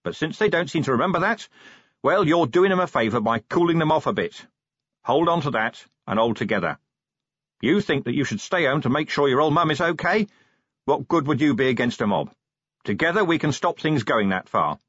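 A man's voice: on the high side, lively intonation, a little fast, fairly bright tone, breathy edge, dry recording, dull audio, voice pushed up. A man playing a weary, sardonic police commander in an audiobook, plain-spoken and commanding. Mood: rousing, firm.

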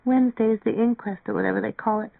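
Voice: mid-range voice, fairly even intonation, fast talker, creaky, no room sound, phone-quality sound, pitched above the performer's own voice. A narrator voicing a tired, restless young woman in an audiobook, with weary, murmured, plaintive lines. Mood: subdued. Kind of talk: audiobook character dialogue.

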